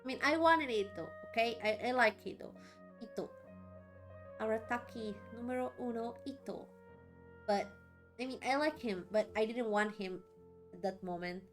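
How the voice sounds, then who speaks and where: Chatty woman streamer, fairly high voice. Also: normal speed, long pauses. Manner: chatty, reacting aloud in short asides. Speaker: streamer playing a game. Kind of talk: casual let's-play commentary. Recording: game music behind.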